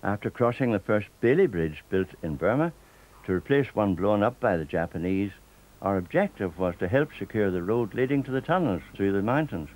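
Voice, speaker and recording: An older man with a Northern Irish accent, reading a scripted documentary narration in a measured, steady way. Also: on the low side, low-quality recording. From Northern Ireland.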